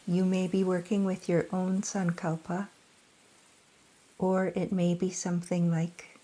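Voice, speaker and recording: Woman, meditation guide, very slow, mellow, soothing, flat and even. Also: lowish voice, rough recording. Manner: slow, soft, soothing delivery with long pauses. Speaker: yoga nidra teacher.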